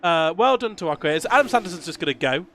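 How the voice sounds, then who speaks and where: A man commentating a sim race, chatty and quick to laugh, his voice worn from a cold. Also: a little high, lively intonation, background noise.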